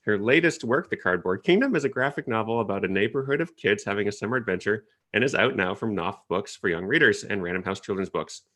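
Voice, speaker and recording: A man from Canada, moderating an online panel in a relaxed, chatty way with hesitant fillers, over a video call. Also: fairly low pitch, fast talker, hardly any pauses. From Ottawa, Canada.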